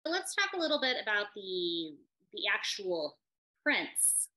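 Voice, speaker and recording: A woman's voice: medium pitch, sing-song, a little slow, sharp tone, rough recording. A woman, a gallery director, interviewing an artist over a video call and leading the talk with questions and praise. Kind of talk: conversational interview.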